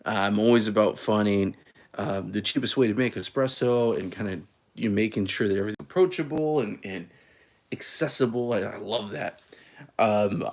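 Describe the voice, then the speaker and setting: A man from California hosting a casual coffee live stream, easygoing and folksy, with many fillers and restarts. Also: fairly low pitch. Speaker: coffee channel host.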